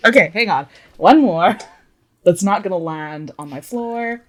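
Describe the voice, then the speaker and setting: A woman's voice: lowish voice, lively intonation, measured pace. A woman chatting casually as a player in a tabletop game, thinking aloud in a hesitant, giggly way. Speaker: player in a tabletop roleplay podcast.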